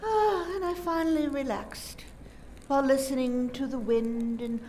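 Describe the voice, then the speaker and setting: A flustered, clumsy lady, acted by a woman in a radio drama, speaks in a very high, plaintive voice. She draws her words out slowly and haltingly, with theatrical feeling, over a faint background noise. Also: mellow. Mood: earnest recounting. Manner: plaintive, drawn-out, halting self-corrections. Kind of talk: community theater radio play acting.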